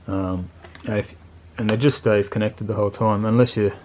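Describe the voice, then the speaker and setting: A man with a lowish voice talks through a piece of gear off the cuff. His delivery is very monotone and laid-back, with plain, colloquial phrasing. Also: moderate pace, slight background noise. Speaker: motorcycle video channel host reviewing gear. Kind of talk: casual unscripted product review.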